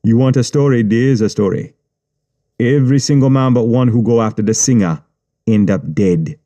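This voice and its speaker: A man voicing a menacing Jamaican gang boss who speaks in calm, mocking patois, read for an audiobook. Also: mid-range voice, normal speed, natural voice. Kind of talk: audiobook character reading.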